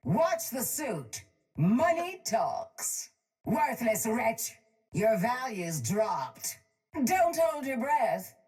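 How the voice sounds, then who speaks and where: A woman's voice: on the high side, sing-song, drawn-out words, darkish tone, heavily acted. An actress voicing a ruthless, haughty chem baroness for a video game, cold and commanding, her voice filtered through a breathing mask. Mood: haughty, mocking, then smug, imperious. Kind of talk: video game character voice lines. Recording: music playing behind the voice.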